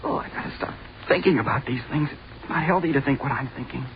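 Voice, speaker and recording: An actor playing a young, obsessive, unhinged college student scientist in vintage radio horror, muttering and repeating himself feverishly. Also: male voice, mid-range voice, fast, natural voice. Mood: tormented muttering. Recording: dull, noisy vintage radio recording.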